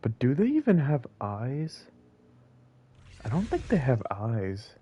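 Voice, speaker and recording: A man's voice: mid-range voice, wildly swooping pitch, slow, mellow, lo-fi sound, a little hiss or hum. A man giving casual live commentary as he streams a game.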